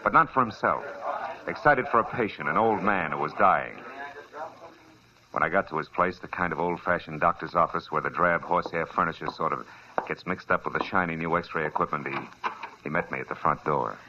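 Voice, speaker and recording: A man's voice: low voice, brisk, crowd noise. A man playing a tough, terse private detective in a 1940s radio drama, clipped and world-weary. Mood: narrating. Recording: lo-fi old radio broadcast.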